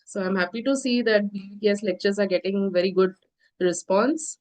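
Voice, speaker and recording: A woman delivers an online lecture over a call, her voice nasal and stuffy from a cold. She explains step by step at a steady pace, pausing often on little fillers. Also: mid-range voice. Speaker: insurance lecturer. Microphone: video call.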